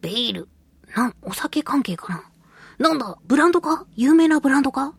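A woman hosting a casual solo radio talk show, reading listener mail in a playful, giggly, cutesy way with a husky, breathy voice. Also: high voice, expressive, brisk, dry recording. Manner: playful, giggly, slips into cutesy exclamations and quirky old-man phrasing. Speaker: radio show host, voice actress.

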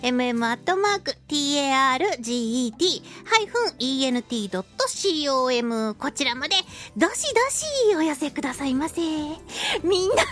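A woman's voice: high-pitched, lively intonation, a little fast, fairly bright tone, soft background music. A woman hosting a radio talk show, chatting casually in a playful, cutesy, effusive way. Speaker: radio show host, voice actress.

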